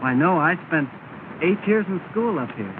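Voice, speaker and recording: On a thin, crackling vintage film soundtrack, a courteous young gentleman. His voice is on the higher side, and he talks in a mild, measured, quietly firm way typical of 1930s pictures. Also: big pitch swings, narrow, tinny audio. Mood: polite, pleased.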